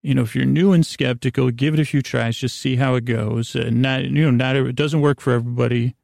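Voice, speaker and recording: A man hosting a sleep podcast, giving a gentle, soothing, meandering bedtime monologue in a halting way full of trailing asides. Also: medium pitch, fairly even intonation, gravelly, no room sound, studio quality.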